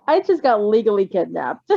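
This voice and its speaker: A woman's voice: mid-range voice, big pitch swings, normal speed, vocal fry, dark tone, dry recording, highs cut off. A woman reading a fan fiction story aloud, then chatting casually about it and laughing often.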